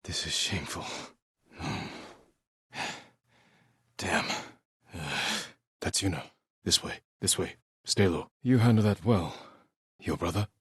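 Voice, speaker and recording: An actor playing a grave, honor-bound young samurai lord in a video game, speaking in a measured, restrained way with short, solemn sentences. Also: male voice, sits low, slightly rough, no echo, studio quality, exaggerated voice. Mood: hushed, tense.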